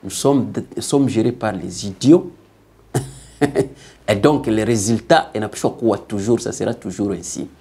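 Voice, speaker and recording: A man delivers a political commentary monologue in a low, husky voice. He hesitates often with fillers and slips between French and Swahili. When he turns indignant, his voice rises and gets louder. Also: unhurried. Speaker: online commentary host.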